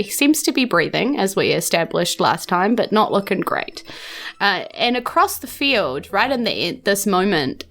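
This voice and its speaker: A woman's voice: mid-range voice, a bit bright. A woman with a New Zealand accent improvising narration as game master of a tabletop game, in a conversational way with hesitant phrasing. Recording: music bed behind the voice.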